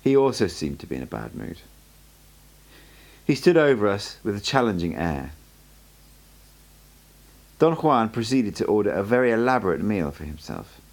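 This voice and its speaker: A man reading an audiobook aloud as an amateur narrator, in a steady storytelling way. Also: lowish voice, moderate pace, pauses often. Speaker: audiobook reader.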